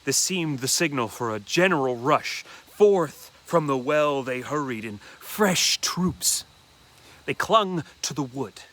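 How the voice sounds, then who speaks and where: A man reading a classic horror story aloud as dramatic first-person narration, deliberately and with dramatic pauses. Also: mid-range voice, a bit bright.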